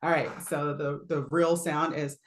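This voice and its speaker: A woman with a low voice teaches a live online singing lesson in a relaxed, chatty way. She laughs often and stops to demonstrate sounds. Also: measured pace, echoey room.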